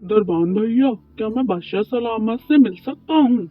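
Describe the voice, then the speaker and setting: A man's voice: high voice, moderate pace. A man playing a poor, worried, humble bread baker in an Urdu moral cartoon story, pleading and deferential. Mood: anxious, polite. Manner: pleading, deferential, talks to himself. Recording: muffled lo-fi track with music behind.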